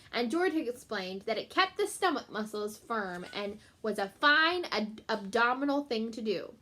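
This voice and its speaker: A woman teacher reading a children's book aloud in an expressive way, speaking directly to young listeners. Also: a little high. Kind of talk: children's book read-aloud with short explanatory asides.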